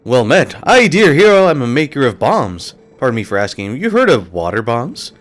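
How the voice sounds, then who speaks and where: A man's voice: mid-range voice, very animated, normal speed, soft background music, voice pushed up, natural voice. Man reading as a courteous fish-man bomb maker. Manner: formal, courteous.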